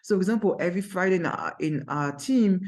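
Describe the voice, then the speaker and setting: Fast-talking speaker with a strong French accent. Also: medium pitch. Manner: energetic and emphatic, with frequent restarts. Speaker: brand agency founder. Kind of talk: advice talk to camera. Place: office.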